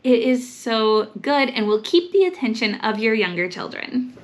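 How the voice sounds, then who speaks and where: A woman's voice: a little high, big pitch swings, moderate pace. A woman giving upbeat book recommendations as a vlog host, speaking with enthusiasm and emphasis. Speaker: host, homeschool mom recommending books.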